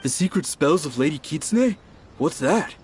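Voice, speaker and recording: A man's voice: a little high, animated, moderate pace, darkish tone, slight background noise, close to an everyday voice. A man voicing a curious, casual townsman in a video game who is eager for the latest rumor.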